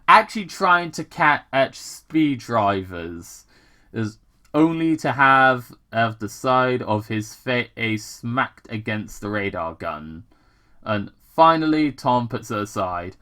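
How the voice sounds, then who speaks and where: A man's voice: medium pitch, lively intonation, takes it slowly, bright, forceful tone. A man casually reading fan fiction aloud with commentary, stumbling over words, repeating himself and swearing in asides.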